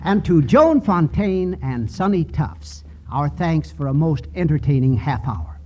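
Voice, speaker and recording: Animated male 1940s radio host. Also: fairly high pitch, measured pace, lo-fi sound. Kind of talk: 1940s radio show hosting, formal sign-off. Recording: old radio recording with faint noise.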